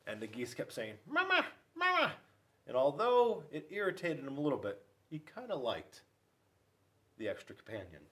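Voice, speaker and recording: A man reading a children's storybook aloud, with dramatic pauses and emphasis. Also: mid-range voice, big pitch swings, distant mic. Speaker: school resource police officer reading to children.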